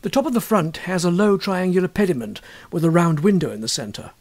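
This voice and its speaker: A man with a husky voice narrating a literary ghost story in a measured, expressive way. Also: sits high. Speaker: audiobook narrator.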